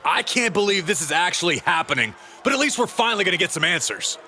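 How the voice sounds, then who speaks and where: A man playing an excitable wrestling commentator calling the action in a video game. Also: high-pitched, quick pace, full character voice. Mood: disbelieving. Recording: very noisy arena crowd.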